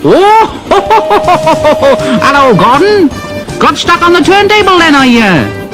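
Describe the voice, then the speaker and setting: A man's voice: very high-pitched, sing-song, slow, over loud music, voice pushed up, exaggerated voice, low-quality recording. A man voicing a boastful, vain young male engine with a brash way of talking.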